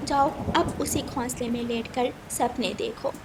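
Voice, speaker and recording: A firm mother sparrow speaks in a high, steady voice, voiced by a woman. Clear rain noise sits behind the cartoon track. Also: unhurried. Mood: stern.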